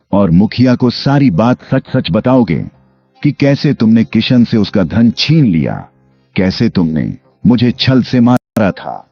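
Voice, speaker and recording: An actor playing the stern, avenging ghost of a murdered village headman in an animated moral story, with a commanding, theatrical delivery. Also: on the low side, breathy edge, exaggerated voice. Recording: dull lo-fi audio. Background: music.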